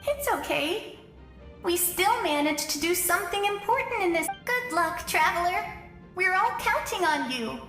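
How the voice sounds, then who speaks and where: Very high-pitched little girl. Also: lively intonation, a little slow, fairly bright tone, echoey room, distant mic, soft background music, heavily acted. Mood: earnest, hopeful. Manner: earnest, childlike. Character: sweet, brave little girl who died young. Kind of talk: video game voice acting.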